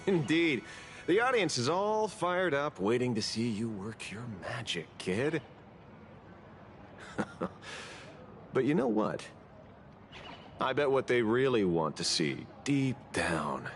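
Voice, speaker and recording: An actor playing a roguish, amused, worldly muscle-for-hire in a video game, with a teasing, easygoing drawl. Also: male voice, mid-range voice, sing-song, slow, long pauses, a little hiss or hum.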